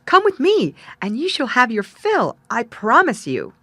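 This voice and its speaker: A woman's voice: fairly high pitch, wildly swooping pitch, a little slow, bright, forceful tone, no room sound, pitched above the performer's own voice, full character voice. A woman voicing an eager, kindly, simple young man in a storybook tale. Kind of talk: storybook character voice.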